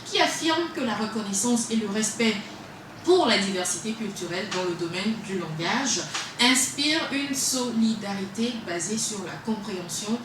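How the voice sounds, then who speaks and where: Woman radio host, casual and halting, recorded far off in a boomy room with noise. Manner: chatty, hesitant with frequent fillers. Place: web radio studio. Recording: echoey room from far off, noisy.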